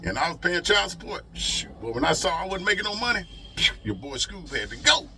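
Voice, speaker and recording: A man's voice: sits high, big pitch swings, a little fast, pushed and bright. A man talking casually as a trucker vlogger, giving advice in an emphatic, folksy way with repeated rhetorical questions. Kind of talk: motivational advice vlog, casual talk.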